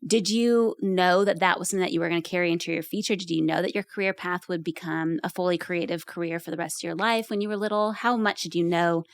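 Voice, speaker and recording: A woman's voice: on the low side, fairly even intonation, quick pace, few pauses, no echo. A woman hosting a podcast, chatting with a family member and asking long, winding questions. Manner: warm, curious, asks long rambling questions. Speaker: podcast host. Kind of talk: casual interview chat.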